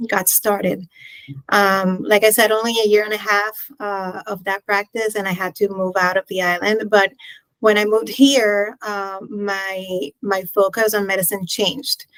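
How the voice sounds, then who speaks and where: Puerto Rican woman doctor, measured speech. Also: medium pitch, fairly bright tone, dry recording, low-quality recording. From Puerto Rico. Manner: measured, thoughtful, with frequent ums and restarts. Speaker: physician guest. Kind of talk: podcast interview answers.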